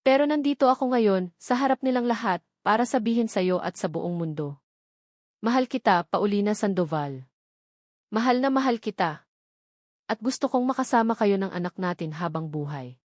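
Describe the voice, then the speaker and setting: A woman's voice: medium pitch, lively intonation, normal speed, darkish tone, dry recording, studio quality, close to an everyday voice. A Filipino woman voicing an earnest, remorseful young prince who declares his love in an audiobook romance, pleading solemnly. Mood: tender, determined.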